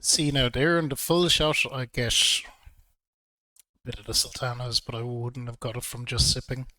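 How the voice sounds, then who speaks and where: A man reviewing whiskey in a relaxed, hesitant way, a little throaty from a cold. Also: medium pitch, unhurried, no room sound. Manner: relaxed, hesitant with frequent ums. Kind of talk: casual video review.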